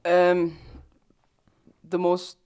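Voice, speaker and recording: A woman in her thirties from Singapore, with an accent that mixes Singaporean and Australian, talking about herself in a relaxed interview. She speaks reflectively and often restarts her phrases. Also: lowish voice, extremely slow, smooth. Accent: mixed Singaporean and Australian. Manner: thoughtful, self-deprecating, restarts phrases. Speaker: photographer, interview guest. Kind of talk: casual conversational interview. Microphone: close desk mic.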